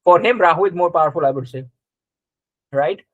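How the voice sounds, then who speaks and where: A man with a fairly high voice teaches a live class in a conversational way. He explains step by step and often checks with short questions, recorded close and dry. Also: brisk, no echo, dull audio. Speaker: astrology teacher. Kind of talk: live interactive class, explaining and quizzing students.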